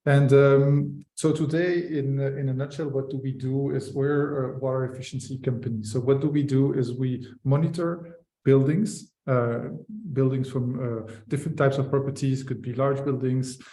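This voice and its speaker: Belgian founder, male, mellow, halting delivery with restarts, roomy echo. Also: mid-range voice, fairly even intonation. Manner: hesitant, many fillers and restarts. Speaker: company founder guest. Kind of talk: casual webinar interview.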